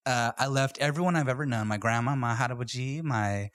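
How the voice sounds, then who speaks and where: A man's voice: medium pitch, a little fast, fairly bright tone, no echo, studio quality. A man in his twenties from California, co-hosting a podcast and running the game, playful and swearing casually. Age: 20s. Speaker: podcast co-host and game master. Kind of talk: casual chat.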